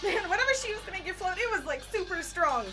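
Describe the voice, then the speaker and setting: A woman playing a flippant, excitable young adventurer in an audio drama, chatty and sarcastic. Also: very high-pitched, fast talker, soft background music, heavily acted. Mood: flippant, nervous.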